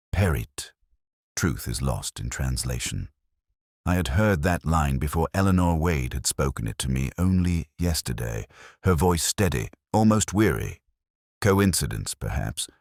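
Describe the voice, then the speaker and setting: A man narrating a scripted first-person detective story in a measured, brooding, literary manner. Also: rumbling low, dry recording, studio quality.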